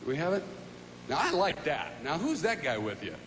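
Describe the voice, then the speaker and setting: A man emceeing a televised pageant from a big stage, his voice sitting high and swinging widely as he talks. He keeps up a polished, upbeat patter into a handheld mic. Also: huge pitch swings, normal speed, fairly bright tone, a little hiss or hum. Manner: showy, upbeat presenter patter. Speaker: pageant host. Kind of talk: live broadcast hosting. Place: large stage with set.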